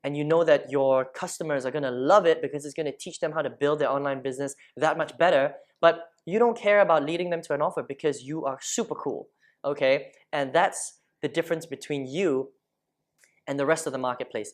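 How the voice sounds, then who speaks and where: A man in his thirties from Malaysia, teaching online marketing over a screen share in a chatty way, often checking in with the listener. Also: a little high. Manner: chatty, keeps checking in with "okay?". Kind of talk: casual screen-share tutorial. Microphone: lapel mic.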